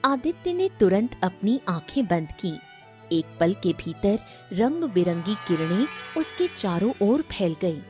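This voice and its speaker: A woman's voice: medium pitch, very animated, measured pace, warm tone, soft background music. A woman narrating a scripted story as its storyteller.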